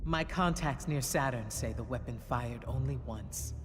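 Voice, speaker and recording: A man's voice: mid-range voice, moderate pace, music in the background, natural voice. A man playing a thoughtful, concerned scholarly strategist in a video game, earnest and questioning. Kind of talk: video game cutscene acting. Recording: echoey large hall reverb.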